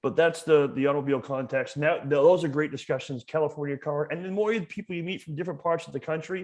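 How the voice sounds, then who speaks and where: A man's voice: a little high, fairly even intonation, fast, a little mellow. A man from Maine, a museum educator, talking as a podcast guest in a passionate, emphatic way, often repeating words as he builds a point. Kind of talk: casual conversational interview.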